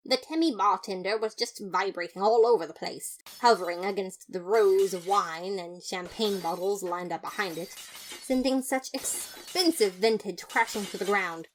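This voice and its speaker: A pompous, easily exasperated skeleton detective, played by a woman tells a story in the first person. The mid-pitched voice is theatrical and haughty, full of fastidious disapproval. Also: slight background noise, natural voice, poor audio. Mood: disgusted.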